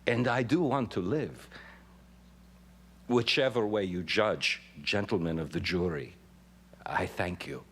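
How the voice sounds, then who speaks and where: A man's voice: low voice, measured pace, pauses often, a bit bright, breathy edge, full character voice. An actor playing an impassioned young male labor radical addressing a jury in an emphatic, oratorical dramatic reading. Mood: tender, resolute. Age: young adult. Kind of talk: dramatic reading of a historical courtroom speech.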